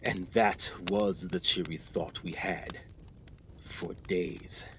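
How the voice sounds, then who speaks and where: An actor playing a determined, composed explorer in a radio play, articulate, deliberate and dramatic. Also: male voice, low-pitched, fairly even intonation, a bit bright, a little hiss or hum, heavily acted. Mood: grave, weary. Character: determined, composed male explorer recounting his jungle expedition. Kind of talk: radio play narration and dialogue.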